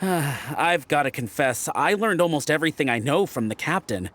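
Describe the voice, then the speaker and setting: A man's voice: on the high side, animated, normal speed, fairly bright tone, slight background noise. A man voicing a friendly, proud ship's lookout in a video game, chatty and explanatory, with music behind him. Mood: sincere, admiring. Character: friendly, proud male ship's lookout teaching weather lore. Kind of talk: scripted video game dialogue.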